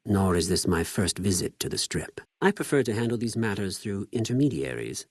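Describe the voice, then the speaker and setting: An actor playing a cold, cunning spy of a Roman-styled slaver army in a video game, speaking in a measured, formal, menacing way with precise diction. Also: male voice, fairly low pitch, very animated, breathy edge, no room sound, studio quality, heavily acted. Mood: solemn, proud. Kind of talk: scripted video game character dialogue.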